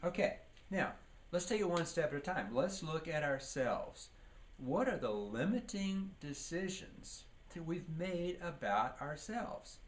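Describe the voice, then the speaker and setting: A man's voice: a little high, expressive, unhurried. Male leadership trainer, noisy recording. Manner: didactic, poses questions and answers them. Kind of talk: training lecture.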